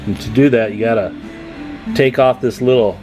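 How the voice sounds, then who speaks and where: A man's voice: mid-range voice, moderate pace, over music. A man talking casually and informally as he hosts a hands-on radio repair demonstration, in a chatty, folksy manner. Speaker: host of a CB radio repair channel.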